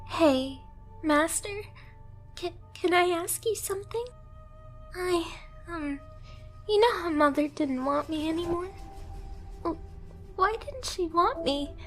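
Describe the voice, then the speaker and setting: A woman's voice: extremely high pitch, slow, long pauses, faint music behind, heavily acted. A woman playing a timid, clumsy young catgirl pet who is eager to please, speaking in a meek, hesitant, apologetic way in an anime-style ASMR roleplay. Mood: hesitant, hurt.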